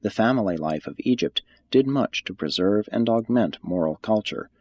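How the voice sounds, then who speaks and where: A man reading a religious text aloud as scripted narration, in a measured, formal manner. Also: fairly low pitch, expressive, a little mellow, lo-fi sound. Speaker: audiobook reader.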